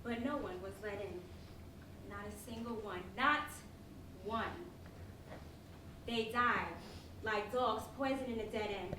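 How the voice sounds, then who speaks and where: A woman acting an anguished, compassionate Roman witness despairing of injustice, with emotional, drawn-out theatrical delivery in a staged reading. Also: fairly high pitch. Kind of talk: dramatic monologue in staged reading. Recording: echoey hall, distant mic, faint noise.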